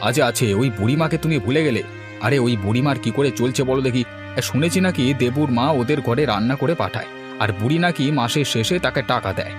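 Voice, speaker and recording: A man playing a brooding, discontented village farmer husband in a cartoon, with a husky voice. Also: medium pitch, brisk, over music, natural voice. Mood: pondering. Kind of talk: cartoon dialogue acting.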